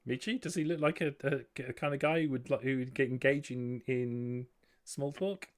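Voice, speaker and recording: A man working as a game master, narrating a tabletop game scene in measured, atmospheric short phrases. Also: mid-range voice, steady tone. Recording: background music under voice.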